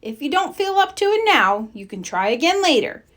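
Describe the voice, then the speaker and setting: A woman's voice: sits high, very animated, normal speed, fairly bright tone, pitched above the performer's own voice. A woman voicing a confident, encouraging young boy team leader in a storybook, upbeat and earnest.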